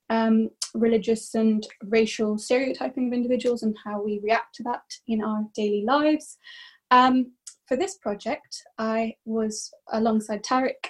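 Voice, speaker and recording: A woman's voice: fairly high pitch, darkish tone. A woman from Ireland, a researcher and guest, telling stories in a chatty way and acting out what other people said. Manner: chatty, anecdotal, acts out quoted voices. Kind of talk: panel discussion on a video call.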